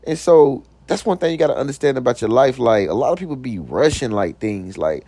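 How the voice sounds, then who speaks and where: A man's voice: expressive, brisk, mellow, dry recording. A young man hosting his own podcast, talking loosely with lots of slang and "you know what I'm saying", joking around as he goes. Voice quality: voice recently got deeper. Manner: jokes around, puts on silly voices. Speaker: podcast host. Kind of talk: casual motivational talk.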